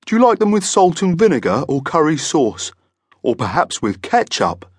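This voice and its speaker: A man's voice: fairly high pitch, sing-song, moderate pace, breathy edge, no room sound, clean studio sound, voice pushed up. A man voicing a huge, friendly, chatty male monster who proudly runs a diner, in a children's story.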